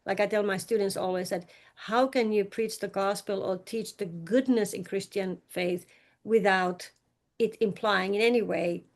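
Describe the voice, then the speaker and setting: A woman scholar and teacher with a Finnish accent, speaking thoughtfully in an academic discussion, often pausing and restarting her sentences. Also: mid-range voice, steady tone. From Finland. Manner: thoughtful, halting, frequent restarts, light laughs.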